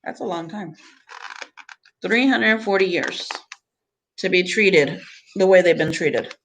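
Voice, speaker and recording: A woman teacher reading a picture book aloud to students, phrasing deliberately in short pieces and adding teaching asides. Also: on the low side, poor audio.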